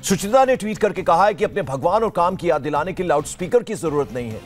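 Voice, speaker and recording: A man's voice: high voice, animated, quick pace. A man presenting and interviewing as a television news anchor, speaking insistently and repeating himself. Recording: music behind the voice.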